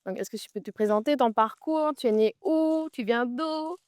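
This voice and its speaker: Upbeat woman host, fairly high voice. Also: moderate pace, darkish tone, no room sound. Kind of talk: casual interview while walking. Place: outdoors on a forest trail.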